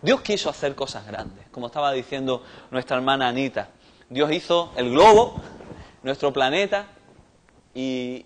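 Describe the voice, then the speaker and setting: A man's voice: mid-range voice, a little hiss or hum. A man with a Cuban accent preaching a sermon in a conversational way that rises in force on key points. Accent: Cuban Spanish. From Cuba. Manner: conversational preaching, swelling louder on big points.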